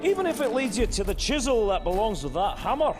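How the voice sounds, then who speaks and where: An actor playing a boisterous, roguish dwarf beast hunter in a video game cutscene, hearty and teasing, telling tales with swagger. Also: male voice, high voice, sing-song, fast talker, over music. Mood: hearty, bargaining. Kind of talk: theatrical game cutscene dialogue.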